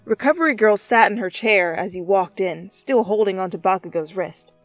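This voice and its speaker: A woman reading fan fiction aloud as an expressive, theatrical second-person narration. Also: mid-range voice, normal speed, no room sound. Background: music.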